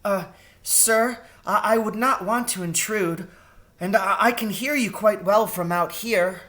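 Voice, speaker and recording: A man's voice: sits high, a little slow, sharp tone, voice pushed up. A man voicing a timid, polite lady mouse in a storybook, speaking hesitantly and courteously.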